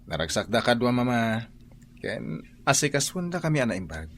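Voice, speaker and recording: A devoted but defiant young son in an Ilocano radio drama. His voice is mid-pitched and earnest, with an Ilocano accent. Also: big pitch swings, normal speed. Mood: pleasant.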